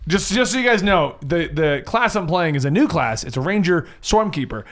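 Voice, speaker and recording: Very high-pitched, animated, playful man. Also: normal speed. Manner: playful, jokey. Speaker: player in a tabletop role-playing game. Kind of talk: casual, joking table chat.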